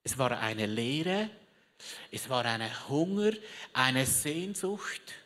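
Preacher, theatrical storytelling sermon, unhurried delivery, rallies the crowd, long echo of a big hall. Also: mid-range voice, big pitch swings, a bit bright. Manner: chatty asides, joking with the audience. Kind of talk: lively sermon with audience participation.

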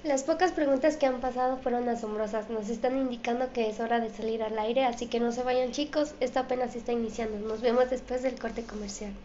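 A woman's voice: a little high, fairly even intonation, brisk, few pauses, warm tone. A woman hosting an interview show, reading out audience questions and presenting to viewers. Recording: dull, echoey room with faint noise.

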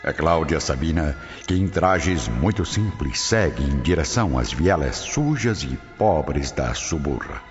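Very deep, theatrical male narrator. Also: animated, unhurried, hardly any pauses. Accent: Brazilian. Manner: solemn, theatrical, formal. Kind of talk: scripted radio drama narration. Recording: dull audio, long reverb, music behind.

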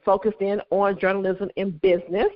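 A woman's voice: mid-range voice, flat delivery, normal speed, lo-fi sound, a crowd in the background. A woman from Miami, chatting as a guest in an interview with frequent fillers and restarts. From Miami, Florida. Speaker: guest, real estate broker. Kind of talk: casual interview chat.

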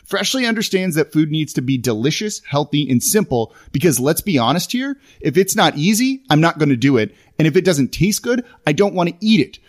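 A man with a slightly high, animated voice delivers a scripted commercial. He sells it conversationally, like a relatable personal testimonial, with lots of movement in his intonation. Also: fairly quick, few pauses. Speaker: advertisement presenter.

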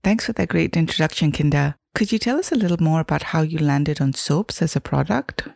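A man hosting a podcast who reads a scripted introduction in a measured way and then asks questions. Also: on the high side, creaky, darkish tone, no room sound, faint music behind. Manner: measured, read-aloud delivery. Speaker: podcast host.

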